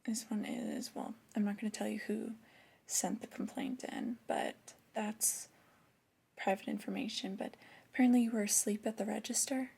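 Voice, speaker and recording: A woman playing a flirty, disappointed store boss in a soft-spoken ASMR roleplay, breathy and often whispering, with a slow, intimate scolding manner. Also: medium pitch, steady tone. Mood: reproachful, skeptical. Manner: slow, deliberate, intimate reprimanding.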